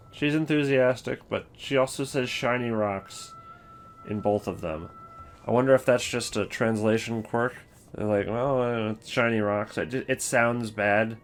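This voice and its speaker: A man giving casual, opinionated video commentary on game voice lines, thinking aloud in halting, rambling sentences. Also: on the low side. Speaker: video host.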